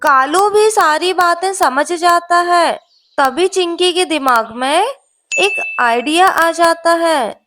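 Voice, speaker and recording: Lady narrating a kids story, really high voice, slow scripted reading. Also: bright, forceful tone, faint music behind.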